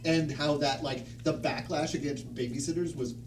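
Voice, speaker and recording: A man's voice: fairly high pitch, fairly even intonation, moderate pace, reverberant, far from the mic. Enthusiastic guy chatting, keeps searching for words, away from the mic w noisy background. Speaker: discussion panelist. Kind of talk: casual chat.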